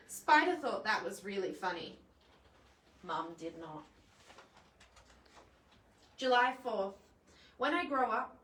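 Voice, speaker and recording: A woman reading a children's picture book aloud in a playful, expressive way, adding chatty asides for young listeners. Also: a little high. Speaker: library storytime presenter. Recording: echoey room, distant microphone.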